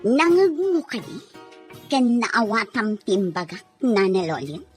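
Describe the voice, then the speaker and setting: A Filipino woman playing a haughty, menacing, quick-tempered employer in an audio drama. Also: medium pitch, sing-song, soft background music. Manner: threatening, indignant.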